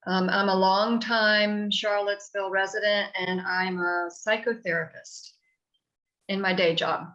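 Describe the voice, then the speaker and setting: A woman commission member speaking calmly and measuredly on a video call. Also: medium pitch, unhurried. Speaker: commission representative. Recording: dull lo-fi call audio.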